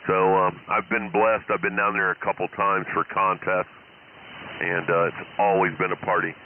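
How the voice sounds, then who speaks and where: A man's voice: low voice, flat delivery, normal speed. A middle-aged American man chatting casually as an amateur radio operator, heard over a radio link. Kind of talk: casual ham radio contact chat. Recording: hissy, narrow-band ham radio transmission.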